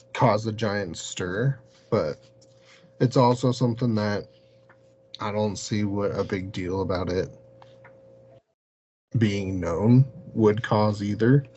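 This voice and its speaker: A man's voice: lowish voice, mellow. A man who is an interview guest, telling anecdotes casually in a rambling way and saying "like" very often. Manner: rambling, very frequent "like". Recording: muffled lo-fi audio, hum and hiss.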